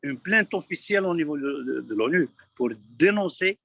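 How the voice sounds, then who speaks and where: A man from Kabylie speaking abroad, giving an urgent, halting testimony full of repeated words. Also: fairly high pitch, expressive, gravelly, fairly bright tone, no echo. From Kabylie, Algeria. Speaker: community member abroad. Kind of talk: impassioned testimony.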